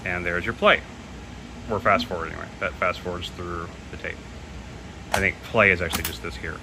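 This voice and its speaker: A man with a very deep-pitched voice talks through a piece of equipment off the cuff. His delivery is loose and a little unsure, like an informal walkthrough. The homemade recording has clearly audible noise behind him. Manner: hesitant, loose, admits gaps in knowledge. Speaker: presenter demonstrating audio equipment. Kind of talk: casual unscripted product demo. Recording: clearly noisy home recording.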